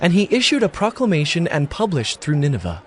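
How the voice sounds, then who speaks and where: Casual: guy narrating a bible story, lively sing-song delivery, bit higher voice. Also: a little fast, a faint crowd. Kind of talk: scripted scripture narration.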